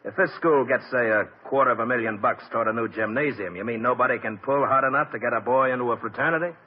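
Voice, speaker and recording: A man's voice: medium pitch, quick pace, lightly acted. An actor playing a tough, blunt, streetwise gangster father in a 1950s radio drama. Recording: dull lo-fi old radio broadcast.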